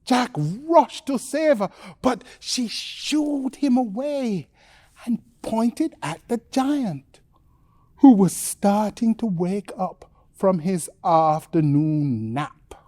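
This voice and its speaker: A woman telling a children's bedtime story theatrically, with dramatic, drawn-out phrasing and suspenseful pauses. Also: medium pitch, animated, warm tone, dry recording.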